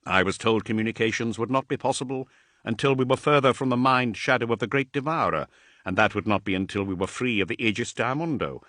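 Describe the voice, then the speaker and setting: A man's voice: medium pitch, fast talker, fairly bright tone, no room sound, clean studio sound, natural voice. A man voicing a grave vampire warlord. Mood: commanding. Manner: grave, commanding. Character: ancient vampiric warrior lord, stern commanding man.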